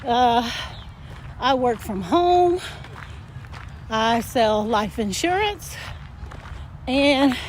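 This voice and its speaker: An older woman chatting casually while walking uphill outdoors, a bit hoarse and winded, rambling with lots of fillers. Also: on the high side, a little hiss or hum. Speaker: vlogger. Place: outdoors in a park field.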